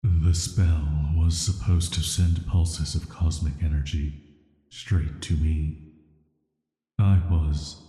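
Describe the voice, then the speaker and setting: Male actor as immortal cursed villain, extremely low voice, soft flat delivery, hesitant pauses, echoing space, audio roleplay. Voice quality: very deep, soft, atmospheric. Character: ancient banished male villain, immortal cursed being, calm and flirty. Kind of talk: slow scripted roleplay audio drama monologue. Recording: echoey, long cavernous reverb.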